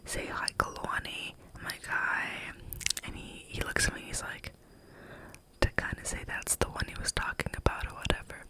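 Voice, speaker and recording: A young adult whispering a rambling story in a casual way, full of fillers like "like" and "um". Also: fairly quick. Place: staying on a chocolate farm in Hawaii.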